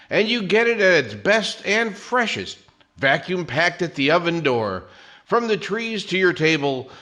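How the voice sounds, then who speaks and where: A man reading a polished 1940s-style radio commercial as an announcer. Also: sharp tone. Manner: polished sales pitch.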